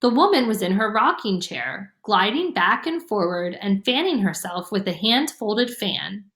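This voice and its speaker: A woman reading a children's storybook aloud as an expressive narrator. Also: medium pitch, measured pace. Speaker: storybook reader. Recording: roomy, echoing room sound.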